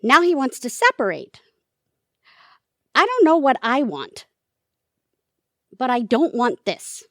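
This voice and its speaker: An actress plays a domineering middle-aged wife in a radio play. Her voice is high and swings widely in pitch, scornful and dramatic, in a dry, close recording. She speaks like someone used to giving the orders. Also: wildly swooping pitch, slow pace, long pauses, fairly bright tone, no room sound, heavily acted. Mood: rattled, pleading. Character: domineering, sharp-tongued wife and mother of grown children. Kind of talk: audio drama acting.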